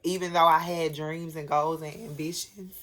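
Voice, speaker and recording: Raspy-voiced woman with a cold. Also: sits low, steady tone, normal speed, a bit bright. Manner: earnest, reflective, many ums and restarts. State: sick with a head cold. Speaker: vlogger. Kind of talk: personal faith vlog, talking to camera. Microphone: camera at desk, glancing at notes.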